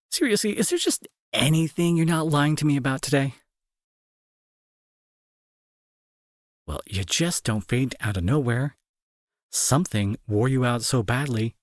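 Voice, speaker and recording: A man acting in an intimate audio roleplay as a gruff young bully who is secretly worried and protective, talking to the listener with sarcasm and exasperation. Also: medium pitch, dry recording, clean studio sound, lightly acted. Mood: sarcastic, irritated.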